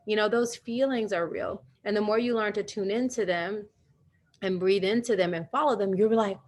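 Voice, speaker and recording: A woman's voice: medium pitch, fairly even intonation, moderate pace. A woman leading a live virtual wellness workshop from home over a video call, talking in a chatty, personal way and laughing often.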